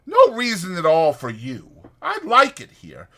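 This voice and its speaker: A man's voice: sits high, huge pitch swings, unhurried, bright, forceful tone, pitched above the performer's own voice, heavily acted. A man voicing an impatient, bossy but caring ranch hand who talks in a brusque rural American vernacular in an audiobook. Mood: easygoing, bossy.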